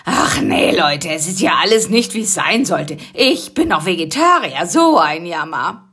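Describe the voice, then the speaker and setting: A woman's voice: lowish voice, very animated, unhurried, a bit bright, breathy edge, full character voice. A woman voicing a lazy, sleepy male spider who mumbles and grumbles. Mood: grumbling.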